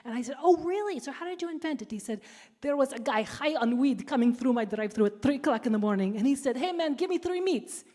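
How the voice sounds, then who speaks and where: A woman author giving a playful book talk, quizzing the audience and telling stories in a lively way. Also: high voice, fast talker. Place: public library event space. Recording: reverberant hall.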